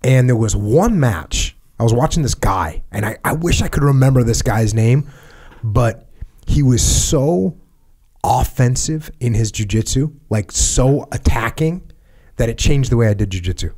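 A middle-aged man hosts a casual podcast conversation, recorded close on a studio boom mic. His voice sits at an ordinary middle pitch. He gets animated when telling stories, acting out what he thought in the moment. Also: measured pace. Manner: animated storyteller, acts out his own reactions. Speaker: podcast host. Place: dark studio. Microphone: studio mic on boom arm, headphones.

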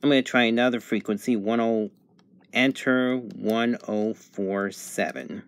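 A man's voice: fairly low pitch, fairly even intonation, measured pace, vocal fry, dry recording. A man giving a casual, methodical, matter-of-fact hands-on demonstration commentary indoors as a reviewer. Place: indoors at a table. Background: sound from a radio.

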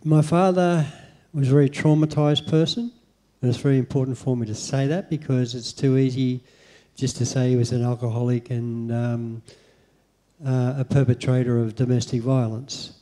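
A middle-aged Australian man speaking on stage into a handheld mic, halting with many ums as he answers interview questions. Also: mid-range voice, little pitch movement, dark tone. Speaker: guest speaker. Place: convention hall stage.

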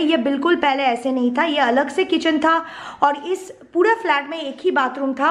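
Chatty high-voiced young woman vlogger. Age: young adult. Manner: chatty, emotional, mixes in English phrases. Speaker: YouTube vlogger. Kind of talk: casual home tour vlog. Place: inside an old flat, small bare rooms.

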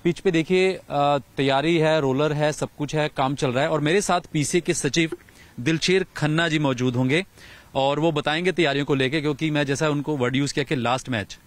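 A man's voice: a little high, normal speed. Man reporting on location. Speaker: sports reporter. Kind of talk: on-location news reporting and interviewing. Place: outdoors in a large empty cricket stadium. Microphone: handheld reporter microphone.

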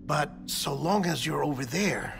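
A man playing an earnest middle-aged government colleague who explains things deliberately and persuasively in a video game. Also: fairly high pitch, animated, gravelly, full character voice. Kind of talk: video game cutscene acting. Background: background music.

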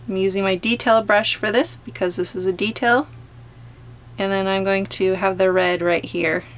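A woman art teacher calmly talking through a step-by-step painting tutorial as she paints at a table. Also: mid-range voice. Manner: gentle, unhurried explaining while painting. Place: home studio, overhead camera on painting table.

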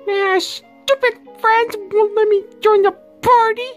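A performer voicing a clingy, sulky cartoon dog with a squeaky voice and a whiny, stammering manner. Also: steady tone, slow, soft background music. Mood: indignant, sulky.

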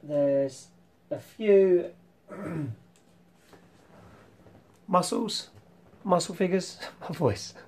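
A young man hosting a casual retro collection vlog, rambling with ums and clearing his throat, his voice slightly worn from losing it. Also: high-pitched, drawn-out words, long pauses, a little mellow. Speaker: retro game collector vlogger. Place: small room full of toy shelves, indoors.

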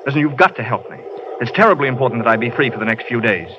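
A man's voice: mid-range voice, expressive, very fast, lightly acted. An actor playing a charming young man on the run, urgent and wry, in a 1930s radio drama. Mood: pleading. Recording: lo-fi old radio broadcast with loud hiss.

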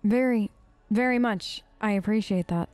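A woman's voice: mid-range voice, normal speed, a little mellow, dry recording, soft background music. Conversational woman gamer, close dry mic. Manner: conversational, hesitant, thinking aloud. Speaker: let's play streamer role-playing her character. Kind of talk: improvised in-character gaming commentary.

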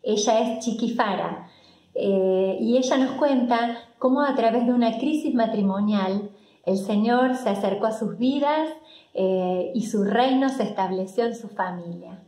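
An Argentine woman presents to camera at a slow pace. Her voice sits a little high. She pauses between phrases, and the room echoes around her. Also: mellow. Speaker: host. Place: living room, indoors.

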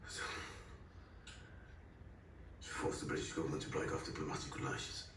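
An actor playing a cool, calculating gang leader who talks in a low, measured voice, heard from a TV across the room. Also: male voice, steady tone, distant mic. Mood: cold. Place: drama series played on a TV. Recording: TV audio filmed from across a room, echoey and noisy.

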